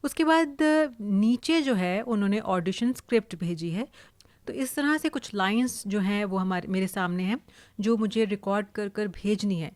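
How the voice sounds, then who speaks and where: A woman's voice: medium pitch, a little mellow, no room sound. An Indian-accented woman who works as a voice-over artist, explaining in a casual tutorial style.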